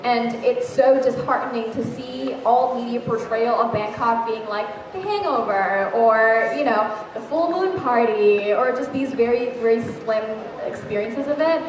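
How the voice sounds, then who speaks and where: A woman's voice: fairly high pitch, unhurried, few pauses, a little hiss or hum. A young woman from Thailand speaking on a festival panel about travel, earnest and storytelling. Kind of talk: panel discussion. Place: large hall with a live audience. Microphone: handheld mic. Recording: echoey hall recorded from far off.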